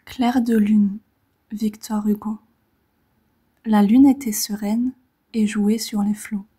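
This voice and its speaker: A woman reading poetry in a gentle, measured, relaxing manner, with a soft breathy voice that often fades toward a whisper and short phrases set apart by pauses. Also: mid-range voice, little pitch movement. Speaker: poetry reader.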